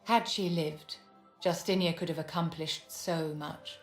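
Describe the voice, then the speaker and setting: In a reverberant hall with music underneath, an aristocratic, shrewd woman mage for a video game. Her voice sits fairly low for a woman, and she speaks with measured, haughty courtesy. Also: fairly even intonation. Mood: poised, assured.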